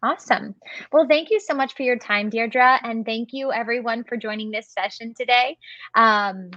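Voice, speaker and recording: A woman's voice: a little high, normal speed, pushed and bright, dry recording. Woman hosting a webinar over a dry, muffled line. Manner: upbeat, affirming interviewer. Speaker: webinar host. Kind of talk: hosted webinar interview.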